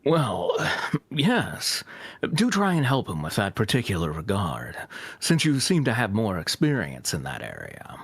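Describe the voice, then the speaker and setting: A man's voice: on the low side, wildly swooping pitch, measured pace, creaky, slightly rough, no room sound, exaggerated voice. A man voicing a refined, bemused radio psychiatrist who gives earnest advice in formal phrasing, in a comedic fanfiction reading. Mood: earnest, exasperated. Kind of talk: comedic fanfiction read-aloud.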